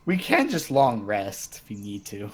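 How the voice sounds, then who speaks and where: A man's voice: on the low side, wildly swooping pitch, a little slow. A young man chatting casually as a player in a tabletop roleplay game.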